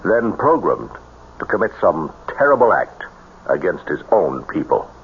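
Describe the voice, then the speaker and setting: A very deep, stern colonel. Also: male voice, sing-song, a little slow, over loud music, exaggerated voice. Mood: grave warning. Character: stern, guarded male army colonel. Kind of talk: old-time radio drama acting. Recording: tinny, noisy old radio broadcast.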